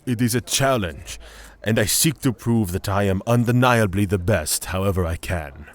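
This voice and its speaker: A man's voice: on the low side, moderate pace, close to an everyday voice. A man playing a haughty, rigid cleric in a fantasy audio drama, formal, self-assured and matter-of-fact. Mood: proud, composed. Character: haughty, rigid male cleric of the order god.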